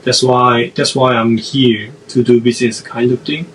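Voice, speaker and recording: A man from South Korea with a Korean accent, a podcast guest answering in a halting way and often restarting his phrases. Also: medium pitch, flat delivery, fairly bright tone, recorded from a distance, low-quality recording. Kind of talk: casual interview answers.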